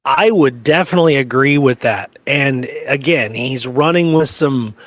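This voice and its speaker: A man with a mid-pitched voice chats as a podcast co-host. His talk is informal and agreeable, sprinkled with slang, and the recording is very dry with no room sound. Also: normal speed, no room sound. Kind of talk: casual chat.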